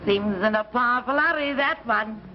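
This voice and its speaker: Muffled noisy old broadcast, woman playing nagging scornful wife, sharp, scolding, 1950s drama. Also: fairly high pitch, moderate pace, lightly acted. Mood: dismissive. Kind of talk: 1950s television anthology drama acting.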